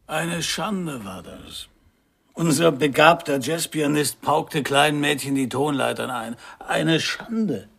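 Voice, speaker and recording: An actor in a radio play playing a proud, boozy, ageing male jazz trumpeter with a gravelly, husky voice. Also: fairly high pitch, lively intonation, slow, fairly bright tone, heavily acted. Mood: scornful. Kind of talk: German radio play acting.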